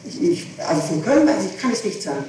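A woman's voice: medium pitch, very animated, fast talker, dark tone. Hesitant woman testifying in an echoey courtroom. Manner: hesitant, evasive, repeating phrases. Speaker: defendant being questioned. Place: large echoing room, distant mic. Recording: echoey, noisy archival tape from far off.

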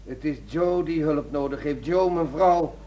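An actor playing a distraught, confused middle-aged husband in a radio drama, pleading and fixated on the past. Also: male voice, fairly high pitch, unhurried, warm tone, close to an everyday voice, poor audio. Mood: agitated, pleading.

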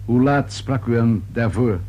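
An actor playing a probing, patient police inspector in a radio play, with terse, dry questioning. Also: male voice, mid-range voice, a little slow, a little mellow, a little hiss or hum, close to an everyday voice.

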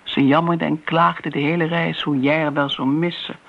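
An actress playing a disdainful older woman who reminisces briskly in a radio drama. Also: deep voice, level tone, vocal fry. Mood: scornful. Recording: dull, noisy old radio play recording.

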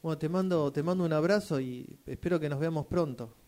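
Un hombre con acento argentino que interpreta en un radioteatro a un amigo preocupado, informal y algo titubeante. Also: male voice, a little high, darkish tone, natural voice. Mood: sympathetic. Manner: informal, halting. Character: concerned male friend offering condolences and asking questions. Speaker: radio drama workshop participant. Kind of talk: rehearsed radio drama acting.

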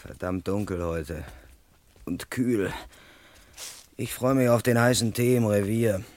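Young police constable, close and dry. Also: male voice, on the low side, takes it slowly, a little mellow, no echo. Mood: bored, musing. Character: bored, ambitious 24-year-old London police constable, eager and deferential. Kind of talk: 1970s German radio play acting, monologue and dialogue.

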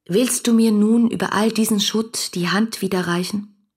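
Actress doing a lovesick romantic woman in a german radio play, husky breathy voice, fervent and poetic, kinda whispery. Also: mid-range voice, steady tone, moderate pace, darkish tone, dry recording, clean studio sound, natural voice. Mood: pleading, tender. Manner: fervent, lyrical, declamatory, breaking into breathy whispers. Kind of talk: literary German radio play, letter monologue.

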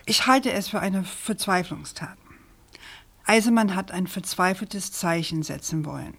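A persistent woman journalist in a radio crime drama. Her voice sits slightly low, and she talks with deliberate emphasis, sceptical and probing. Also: vocal fry, a bit bright, heavily acted. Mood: earnest. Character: persistent, sceptical female journalist questioning a prosecutor.

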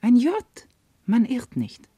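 An actress playing an indignant, sharp-tongued servant wife in a classical verse radio drama, declaiming with scorn. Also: female voice, on the high side, huge pitch swings, takes it slowly, husky, full character voice. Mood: hushed, puzzled.

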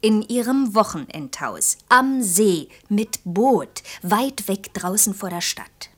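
A woman's voice: mid-range voice, expressive, slow, breathy edge. A self-assured young German woman in a radio play. Her voice sits in a middle register. She is cheeky and ironic, forever challenging and teasing the older man opposite her. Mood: pert, challenging.

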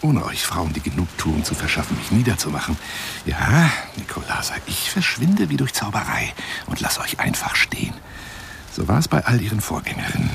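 An actor playing an eccentric, lovelorn and wounded lion hunter in a radio drama monologue, speaking on the telephone in a theatrical, florid, self-pitying manner. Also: male voice, low voice, big pitch swings, normal speed, gravelly, fairly bright tone, in a whisper, heavily acted. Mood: plaintive, resigned, then stubborn, pleading.

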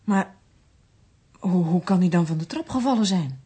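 An actress playing a bewildered, shaken daughter in a Dutch radio drama, speaking hesitantly and falteringly in a breathy voice. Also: female voice, on the low side, animated, moderate pace, warm tone. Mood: hesitant, puzzled.

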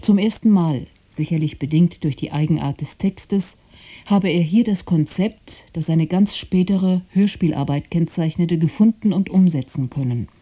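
A woman reading a scripted introduction to a radio play as its presenter, in a measured, formal manner. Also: on the low side, dark tone, slightly rough. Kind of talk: scripted narration.

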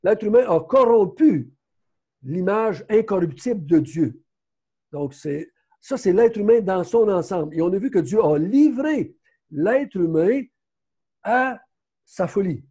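A man's voice: high voice, animated, measured pace, mellow, husky. A man with a Québécois accent teaching a Bible study in a conversational way, often stopping to correct himself. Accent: Québécois French. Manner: conversational, frequent self-corrections.

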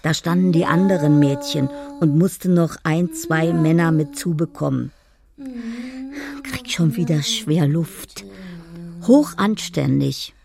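An actress playing a dreamy older woman lost in poetic fantasy and memories in a radio drama, speaking slowly and haltingly. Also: deep voice, creaky, heavily acted. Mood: reminiscing. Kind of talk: radio drama monologue, reading out love letters.

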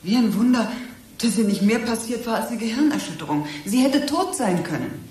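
An actress playing a murdered woman's brisk, emphatic sister in a German radio play, soothing yet pointed. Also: on the high side, expressive, a little mellow, close to an everyday voice.

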